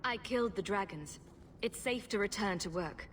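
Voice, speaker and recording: A confident adventurer heroine, voiced by a woman speaks calmly and directly, with dry humour. Her pitch is mid-range, with faint noise behind her. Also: moderate pace, natural voice. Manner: calm, direct, wry. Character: confident female adventurer hero, dry wit.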